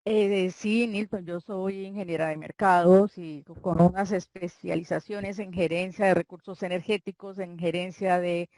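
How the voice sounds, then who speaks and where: An older woman from Colombia with a Santander accent, a guest author chatting in an interview, talking warmly and rambling with frequent restarts. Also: fairly low pitch, steady tone, normal speed, few pauses, no echo, poor audio. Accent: Colombian Spanish, Santander. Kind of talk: casual interview chat.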